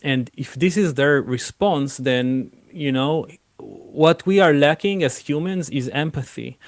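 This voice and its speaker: A man's voice: on the high side, unhurried, dry recording. Israeli guy, film director being interviewed, earnest, lots of "you know", dry sound. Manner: earnest, fluent with frequent "you know" fillers. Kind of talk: interview answers.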